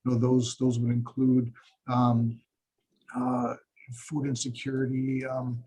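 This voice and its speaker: A man's voice: medium pitch, monotone, slow, warm tone, slightly rough, low-quality recording. A man from Hawaii, a radiation oncologist in his forties, talking in a webinar discussion with many fillers and restarts.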